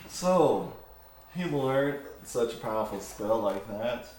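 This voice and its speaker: A man's voice: medium pitch, expressive, slow pace, a little mellow. Man playing a smug, theatrical sorcerous patron, echoey. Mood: grandiose, troubled. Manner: grandiose, formal, teasing. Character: powerful male magical patron, smug, taunting and theatrical. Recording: echoey room, background noise.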